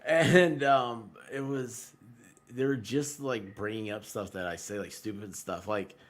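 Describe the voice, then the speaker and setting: A man talking casually as a live streamer, telling stories with big swings between loud and quiet. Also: lowish voice, steady tone, unhurried. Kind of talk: casual chat on a livestream.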